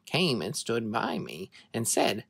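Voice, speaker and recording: A narrator reading scripture to children as a dramatic story, in an expressive, measured way. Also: medium pitch, vocal fry, slightly rough, no room sound.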